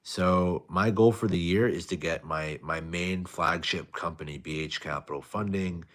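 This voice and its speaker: Young male streamer, very low soft voice, relaxed low-energy delivery. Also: moderate pace. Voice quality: soft-spoken. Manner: tired, low-energy, laid-back. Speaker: live stream host. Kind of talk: casual live stream chat reading viewer comments.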